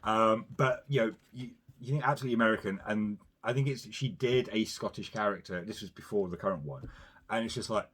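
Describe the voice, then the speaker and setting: A man with a fairly low voice talking through a film in relaxed podcast chat. He wanders through his points, hedging often and circling back before landing his argument. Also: brisk, husky. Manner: rambling, hedging with "you know" and "sort of". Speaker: podcast co-host. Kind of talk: casual film review chat.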